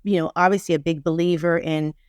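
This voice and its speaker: A woman's voice: on the low side, steady tone, fast talker, smooth, dry recording, studio quality. A woman in her fifties speaking as a podcast guest, giving opinions in an emphatic, casual way and repeating phrases for stress. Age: 50s. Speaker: podcast guest, relationship expert.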